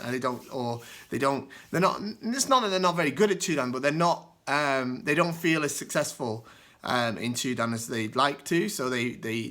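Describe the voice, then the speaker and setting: A man with a bright, forceful tone worn hoarse by a cold talks straight to camera. He answers viewer questions in a relaxed, rambling way, full of asides. His pitch sits in the middle of the range. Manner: relaxed, explains at length with many asides. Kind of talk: casual solo vlog talk.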